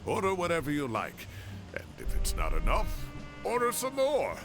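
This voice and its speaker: A man voicing a genial, talkative older scholar in a video game, hearty and theatrical with a gravelly, fatherly voice. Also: on the high side, wildly swooping pitch, normal speed. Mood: hearty, welcoming. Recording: loud game music behind.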